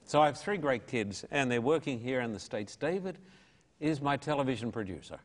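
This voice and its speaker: A man's voice: mid-range voice, lively intonation, a little fast, long reverb tail. An older man with an Australian accent, an evangelist telling his story in an interview with an emphatic, preacherly and folksy manner. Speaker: evangelist guest.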